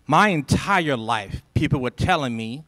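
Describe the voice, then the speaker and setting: A man in his forties from Liberia speaks into a handheld microphone before a packed college lecture hall. He talks at an ordinary pitch in a rousing, preacher-like rhythm, with repeated phrases for emphasis, and the sound is dry despite the big room. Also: lively intonation, measured pace, sharp tone. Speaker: symposium speaker. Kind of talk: motivational speech to students.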